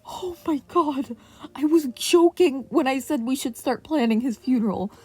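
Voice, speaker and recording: A woman's voice: high voice, moderate pace, breathy edge, pitched above the performer's own voice. Woman voicing a gossipy male hero teacher. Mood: horrified. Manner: hissing, conspiratorial. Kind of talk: audiobook-style fan fiction character reading.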